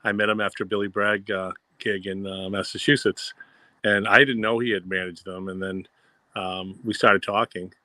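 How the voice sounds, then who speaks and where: A man's voice: on the low side, flat delivery, normal speed, pushed and bright, no room sound. A man with a Boston accent chatting as co-host, enthusiastic and rambling with fillers. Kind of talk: casual fan discussion.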